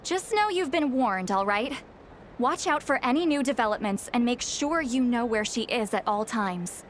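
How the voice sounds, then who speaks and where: Stern granny figure played by a woman, villainous streak, dry command, highish voice, game dialogue over ambience. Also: expressive, normal speed, slight background noise, close to an everyday voice. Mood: stern warning. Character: wary, stern self-styled old granny with villainous streak.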